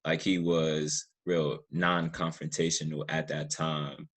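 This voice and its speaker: A man in a casual podcast discussion, reflective and halting, drawing out some of his phrases. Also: extremely low pitch, flat delivery, fairly bright tone, slightly rough. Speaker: podcast host.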